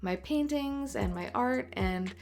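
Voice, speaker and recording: A woman in her late twenties, an artist and tattooer, chatting in a voice-over over a speedpaint, raspy and congested from a cold, talking softly with many ums. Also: a little high, expressive, slow. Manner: soft, rambling, many ums and uhs. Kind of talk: voice-over chat during a speedpaint. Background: faint music.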